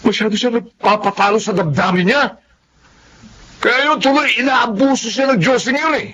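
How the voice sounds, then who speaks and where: An actor playing an indignant, distrustful brother in a radio drama, speaking in an exclamatory way. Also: male voice, very high voice, normal speed, fairly bright tone, muffled recording, slight background noise. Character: indignant, distrustful sibling of a betrayed man. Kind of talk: Tagalog radio drama acting.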